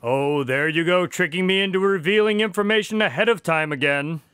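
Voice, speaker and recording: An actor playing an affable, wry doctor sidekick who tells stories in a chatty, expressive, mildly exasperated way in a radio drama. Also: male voice, high voice, a little fast, clear, resonant tone, dry recording, clean studio sound. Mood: genial storytelling. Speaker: narrator-character of a radio drama. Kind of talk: scripted radio drama narration and dialogue.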